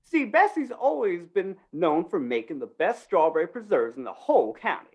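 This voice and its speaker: A woman's voice: on the low side, sing-song, normal speed, darkish tone, breathy edge, full character voice. A woman acting a flustered, wheedling small-town male undertaker in a 1950s-style Western radio play, stammering in rustic speech. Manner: stammering, pleading, rustic grammar.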